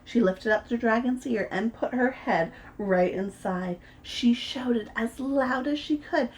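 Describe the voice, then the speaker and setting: A woman reading a children's picture book aloud, gentle and expressive as a preschool storyteller. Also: a little high, measured pace. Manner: gentle and expressive, voices the characters.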